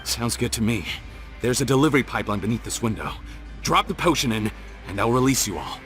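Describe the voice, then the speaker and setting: An actor playing a smug, cruel criminal boss in a video game, taunting and sneering. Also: male voice, medium pitch, animated, fairly quick, fairly bright tone, faint music behind, close to an everyday voice. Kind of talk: video game cutscene dialogue.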